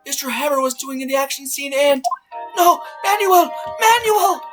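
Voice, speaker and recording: A woman's voice: high voice, animated, normal speed. A woman playing a distraught, crying woman pleading in grief in an amateur game fandub. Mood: anguished. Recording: loud music behind the voice. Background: loud music.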